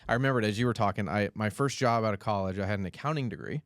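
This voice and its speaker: Brief: male podcast co-host, fast talker, lowish voice, animated and joking. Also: no echo, studio quality. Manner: animated, quick, lightly joking. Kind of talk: casual podcast conversation.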